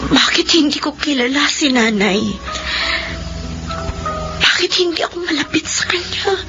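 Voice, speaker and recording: A high, emotional Filipino-accented daughter, devoted and remorseful. She speaks with a fretful, pleading edge over a prominent music bed in slightly dull radio drama audio. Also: lively intonation, a little slow. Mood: anguished, guilty. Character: remorseful adult daughter devoted to her aging mother.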